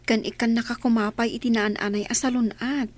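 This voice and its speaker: Actress as a husky, caring female friend. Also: a little high, steady tone, a little fast, natural voice. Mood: encouraging. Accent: Ilocano. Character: caring female friend, gently advising and encouraging. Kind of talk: Ilocano radio drama acting.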